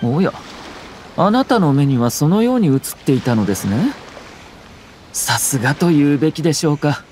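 A man voicing a calm, courteous and calculating young nobleman in an anime-style game, speaking formally with subtle mockery. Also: fairly high pitch, slight background noise. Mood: polite mockery. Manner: polite, composed, measured formal speech.

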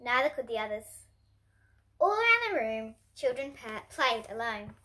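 Young girl, storybook read-aloud, bright tone, animated, expressive delivery. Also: on the high side, normal speed. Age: child, about ten to twelve. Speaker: host reading picture books for kids. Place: indoor room in front of bookshelves.

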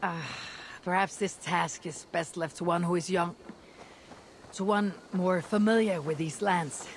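An actress playing a confident young female Viking warrior in a game, husky, stoic and deliberate in her speech. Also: fairly low pitch, fairly even intonation, a little hiss or hum. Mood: guarded, resolute. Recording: faint game ambience behind.

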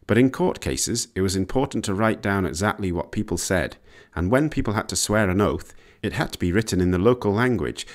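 A man reading scripted educational narration in a measured, explanatory way. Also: deep voice. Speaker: narrator of an educational language history video.

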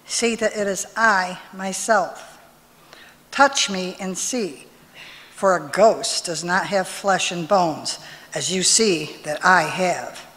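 A woman's voice: lowish voice, a bit bright. Older woman reading scripture, long hall reverb. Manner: measured, careful reading. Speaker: scripture reader. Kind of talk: scripture reading aloud in church. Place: large church sanctuary, at a lectern.

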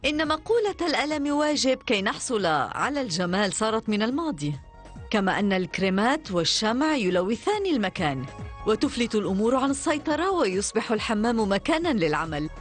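A woman doing a dubbed infomercial voice-over, with a persuasive, expressive sales delivery. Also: a little high, a little fast, faint music behind. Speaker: advertisement voice-over.

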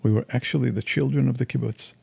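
Older man, candid storyteller, dry close sound, gravelly tone. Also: mid-range voice, fairly even intonation, fast talker, mellow, no room sound. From Israel. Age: 60s. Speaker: guest. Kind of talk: casual interview chat.

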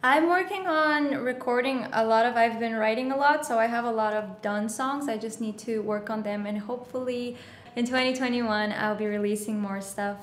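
Young woman, fairly high voice, roomy studio. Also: moderate pace. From Bulgaria. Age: 20s. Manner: chatty, upbeat, many "like" and "uh" fillers. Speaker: singer-songwriter guest. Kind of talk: casual interview. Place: small bright studio room. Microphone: studio condenser mic on stand.

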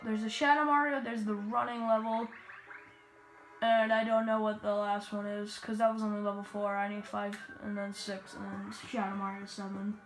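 A woman giving rambling, casual commentary while playing a video game, with excitable bursts. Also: a little high, slight background noise. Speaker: let's play gamer. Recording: roomy echo with game music.

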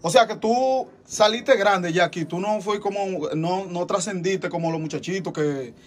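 A man with a Dominican Spanish accent interviewing a guest, friendly and chatty, with quick follow-up questions. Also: high-pitched. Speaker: interviewer, host. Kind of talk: casual interview.

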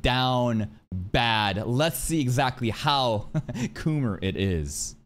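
A young man doing excitable livestream reaction commentary, slangy and crude in his joking, speaking close to a boom-arm mic. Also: slow pace, a little hiss or hum. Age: young adult. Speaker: streamer reacting to a game trailer. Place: small indoor room. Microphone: boom-arm microphone up close.

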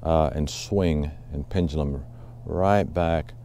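A man hosting a fishing channel, explaining technique in a relaxed, casual way with many fillers while out on a boat on a lake. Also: extremely low pitch, slow pace. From Southwest Ohio.

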